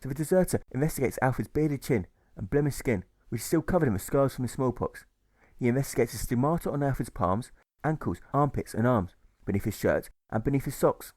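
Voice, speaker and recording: A man narrates a story in rhyme with a theatrical, rhythmic delivery. His voice is medium in pitch and deliberate. The recording is close and very dry. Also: expressive, a little mellow, dry recording. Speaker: author reading own audiobook. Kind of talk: rhyming scripted narration.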